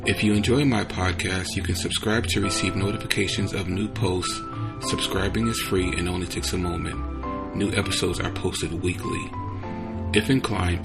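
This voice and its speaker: Gravelly low-voiced man hosting a podcast. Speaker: podcast host. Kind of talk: podcast introduction. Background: music under the voice.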